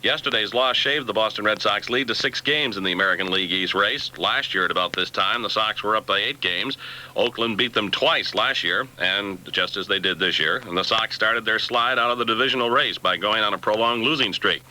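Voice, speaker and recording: A man's voice: on the low side, fairly quick, pushed and bright, low-quality recording. A man reading a scripted local bulletin of news, sports and weather on a 1970s AM radio station, taped off air on cassette. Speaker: radio newsreader. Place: radio studio.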